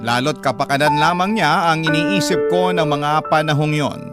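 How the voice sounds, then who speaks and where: Higher-voiced man narrating over prominent music. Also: normal speed. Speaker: narrator of a listener's letter. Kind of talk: dramatic first-person scripted narration. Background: prominent instrumental music.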